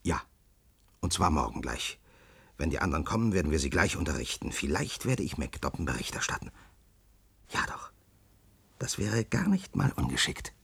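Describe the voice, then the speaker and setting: Resigned middle-aged scientist, very low, husky, cynical radio drama dialogue. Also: male voice, big pitch swings, moderate pace, long pauses, fairly bright tone, dry recording, full character voice. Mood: subdued, businesslike. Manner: resigned, cynical, flaring into irritation. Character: disillusioned, pragmatic male scientist in a bunker.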